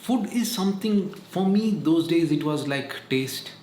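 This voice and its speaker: A man's voice: a little high, animated, unhurried, a little mellow. Earnest man in echoey room. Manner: earnest, repetitive, preachy. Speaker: vlogger. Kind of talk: casual advice monologue. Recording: echoey room, faint noise.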